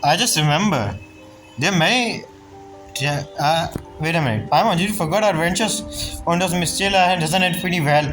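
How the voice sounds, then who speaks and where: A woman's voice: lowish voice, animated, fairly quick, rough recording. A woman game streamer giving casual, joking, offhand reactions as she plays. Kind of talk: casual gameplay commentary. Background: video game music.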